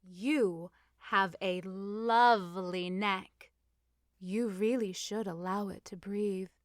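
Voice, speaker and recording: Woman acting dreamy young Regency lady, drawn-out delivery. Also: medium pitch, fairly even intonation, dry recording, studio quality, close to an everyday voice. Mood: happy, teasing.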